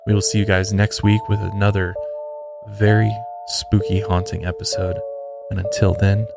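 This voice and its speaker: Low-voiced male true-crime podcast narrator. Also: little pitch movement, moderate pace, gravelly, loud music behind the voice. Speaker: podcast host.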